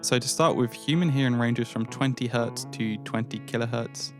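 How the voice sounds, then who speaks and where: A man's voice: medium pitch, moderate pace. A man explaining guitar gear in a casual voice-over, with a slightly nasal, thin sound and dry joking asides. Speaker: guitar pedal reviewer.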